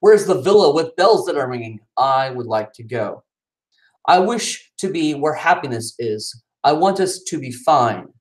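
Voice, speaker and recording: A man's voice: lively intonation, normal speed, poor audio. A man at home chatting casually about his cat, then reading his own poems aloud with swooping, dramatic delivery. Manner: casual aside then dramatic poetry reading with pitch swings. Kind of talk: casual chat and poetry reading.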